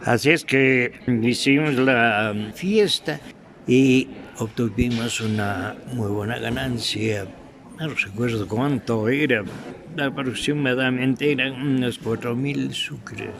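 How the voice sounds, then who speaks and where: Slow, gravelly older Ecuadorian man reminiscing. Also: mid-range voice. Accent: Ecuadorian highland. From Quito, Ecuador. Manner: halting, anecdotal. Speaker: veteran radio theatre actor being interviewed. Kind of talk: reminiscing interview talk. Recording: noisy room recording.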